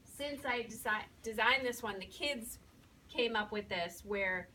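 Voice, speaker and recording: A woman's voice: fairly high pitch, measured pace, pushed and bright. Woman teacher presenting, recorded from a distance. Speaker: first-grade teacher presenting student work. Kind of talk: informal spoken presentation to an audience. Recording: distant mic, faint room noise.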